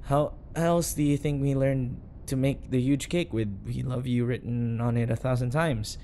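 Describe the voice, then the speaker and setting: A man's voice: medium pitch, flat delivery, moderate pace, dark tone, slight background noise, lightly acted. A man playing a flustered, obsessively devoted bodyguard in an audio roleplay, earnest and nervously backpedalling. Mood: nervous cover-up. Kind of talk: audio roleplay acting addressed to the listener.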